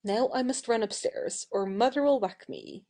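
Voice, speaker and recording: A woman playing a playful, wilful young actress in an audio play, brisk and coquettish with her suitor. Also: on the high side, warm tone, close to an everyday voice. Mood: winning, playful.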